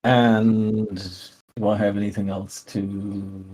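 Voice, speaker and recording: A man plays a tabletop role-playing game and talks casually through his moves. His voice sits low and has a rough, husky grain. He is hesitant and often thinks aloud. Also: little pitch movement, takes it slowly, dark tone, rough recording.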